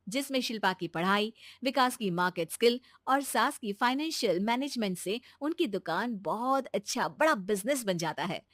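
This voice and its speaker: Bright-voiced woman narrating a moral story. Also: fairly high pitch, no room sound, clean studio sound.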